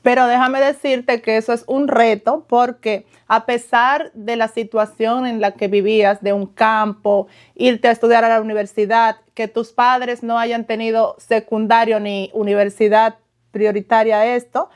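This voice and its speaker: A woman's voice: fairly high pitch, flat delivery, normal speed. A woman hosting a podcast, interviewing her guest with an encouraging manner. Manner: encouraging, asks questions warmly. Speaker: podcast host.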